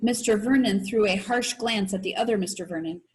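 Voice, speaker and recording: A woman reading a children's novel aloud like a teacher, in an expressive, animated storytelling manner. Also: mid-range voice, a little fast, low-quality recording. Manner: animated storytelling with voices for characters.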